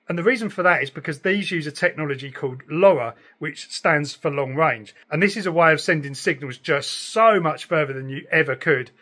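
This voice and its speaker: A middle-aged man talks in a bright, fairly high voice. He is chatty and enthusiastic, explaining things casually to camera as a hobby vlogger would. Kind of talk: casual explanatory vlog chat. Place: room in a house.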